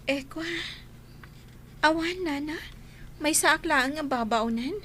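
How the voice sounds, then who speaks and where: High-voiced, meek young woman. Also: slow, fairly bright tone. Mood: nervous. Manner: polite, meek, hesitant. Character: meek, grateful young pregnant waitress. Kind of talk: radio drama acting.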